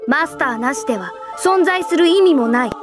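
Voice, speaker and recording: A woman's voice: fairly high pitch, normal speed, close to an everyday voice. A woman playing a stern, commanding guardian of an ancient system in a video game cutscene, speaking formally and with authority. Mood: stern, authoritative. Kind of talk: dramatic game cutscene acting. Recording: echoey reverberant hall, distant, faint music.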